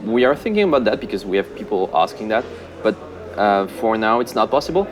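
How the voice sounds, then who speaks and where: A man with a fairly low voice answers questions casually, pausing often with ums and uhs. He sounds relaxed and easygoing and drops in a bit of dry, self-mocking humor. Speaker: senior game producer.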